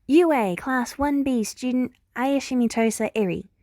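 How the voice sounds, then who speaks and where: A woman's voice: high voice, normal speed, darkish tone, dry recording, clean studio sound, voice pushed up, lightly acted. A woman voicing an earnest, wounded young heroine from the future in a story, speaking firmly and a little wryly. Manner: prompt, firm, wry.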